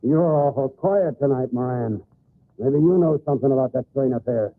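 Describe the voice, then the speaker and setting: An actor playing a suspicious, domineering western outlaw boss with a blunt, threatening manner in an old radio drama. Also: male voice, medium pitch, moderate pace, lightly acted. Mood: menacing. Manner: threatening, blunt, drawling cowboy talk. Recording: tinny, noisy old radio recording.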